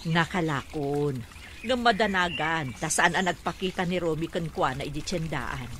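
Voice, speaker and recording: A woman playing a caring village mother in a radio drama, steady and reassuring. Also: deep voice, normal speed. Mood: weary, concerned. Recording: noisy animal and water sound effects.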